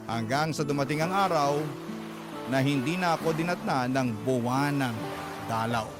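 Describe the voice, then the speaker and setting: A man narrating a young woman's love story as a heartfelt letter, in an earnest, gentle storytelling tone. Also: medium pitch, normal speed, close to an everyday voice. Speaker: radio drama narrator. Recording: narration over loud background music.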